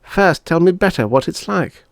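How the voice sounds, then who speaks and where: A man's voice: high-pitched, animated, normal speed, creaky, no echo, voice pushed up. A man voicing a bossy, impatient young boy, a scornful big brother in an audiobook story. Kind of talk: audiobook character voice.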